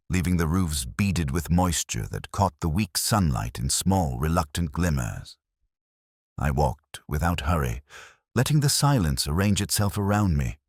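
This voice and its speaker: A man reading a scripted first-person detective story in a measured, reflective, literary manner. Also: rumbling low, no room sound, clean studio sound. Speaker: audio drama narrator.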